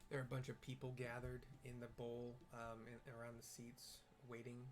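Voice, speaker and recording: A man's voice: medium pitch, completely flat delivery, moderate pace, slight background noise. A young man playing a video game and describing the scenes aloud for blind viewers, hesitant with many fillers and restarts. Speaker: gamer and audio describer. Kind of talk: casual let's-play with audio description.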